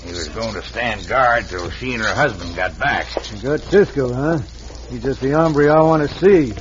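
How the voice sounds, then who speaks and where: An actor playing a gruff scheming outlaw with cowboy slang, in 1940s radio acting, on a dull old radio recording with hiss. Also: male voice, mid-range voice, normal speed, lightly acted. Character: rough outlaw henchmen, scheming.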